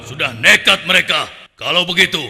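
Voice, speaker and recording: A man's voice: on the high side, lively intonation, moderate pace, gravelly, big-room sound, over music. A man playing an arrogant, cruel warlord king in a cartoon, speaking in a haughty, commanding, contemptuous way. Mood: menacing. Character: arrogant, cruel warlord king who scorns his enemies. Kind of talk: Indonesian cartoon voice acting.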